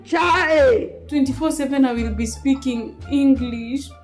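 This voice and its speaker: A young Kenyan woman, a native Swahili speaker, talks in a fairly high voice. She sounds plaintive and casual, as on a personal call, with music behind her. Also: slow, darkish tone. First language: Swahili and a mother tongue. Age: young adult. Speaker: vlogger playing a prank on her partner. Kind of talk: casual relationship phone chat. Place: small living room, seated on a sofa indoors.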